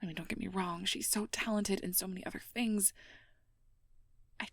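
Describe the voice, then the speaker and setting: Breathy, earnest young girlfriend voiced by a woman. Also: medium pitch, fairly even intonation, moderate pace, dry recording. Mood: frustrated, reluctant. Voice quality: breathy, often whispered. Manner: earnest, hesitant, careful polite phrasing. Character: sweet, anxious, conscientious young air mage girlfriend confiding her worries. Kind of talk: ASMR role-play, intimate personal address.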